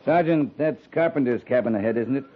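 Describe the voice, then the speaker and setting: A man acts out a frontier cavalry captain in a 1950s radio Western. He is laconic and wry, with a middling pitch and quiet authority. The recording is dull and plainly noisy. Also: brisk, close to an everyday voice. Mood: stern. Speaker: actor in a radio drama.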